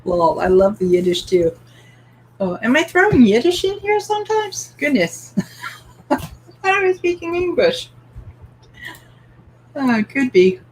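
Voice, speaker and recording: A woman's voice: high-pitched, sing-song, slow, long pauses, a little hiss or hum, poor audio. A woman from Canada hosting a live-streamed book club, asking open questions and reading viewer comments aloud with light laughter.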